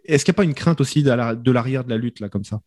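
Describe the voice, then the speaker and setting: A man's voice: mid-range voice, quick pace, a little mellow, no echo, clean studio sound. Man moderating with long questions. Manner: asks long probing questions. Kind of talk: discussion panel.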